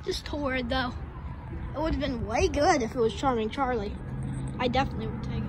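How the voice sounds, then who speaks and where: Clear street noise surrounds a woman recording outdoors on a handheld phone. Her voice is high. She hosts a casual walking vlog, rambling and thinking out loud as she goes. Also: fairly quick. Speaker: host of an abandoned retail vlog. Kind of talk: casual walk-around vlog commentary. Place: outdoors on a shopping street sidewalk.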